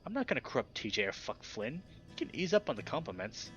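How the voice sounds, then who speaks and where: A man's voice: medium pitch, very animated, fast, a bit bright. A man voicing a young male animal protagonist in a visual novel, self-conscious, with a dry, casual delivery. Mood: dry teasing. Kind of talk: visual novel character read-aloud. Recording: slightly dull recording with background music.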